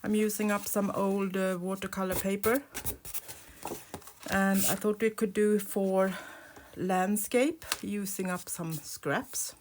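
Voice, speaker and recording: A woman with a sore throat hosting a craft tutorial, talking softly with many pauses and fillers. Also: medium pitch, fairly even intonation, background noise. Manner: soft-spoken, hesitant with many uh's, drops to murmurs while painting. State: sore throat, nearly lost voice. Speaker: crafting channel host. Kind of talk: relaxed craft tutorial voice-over.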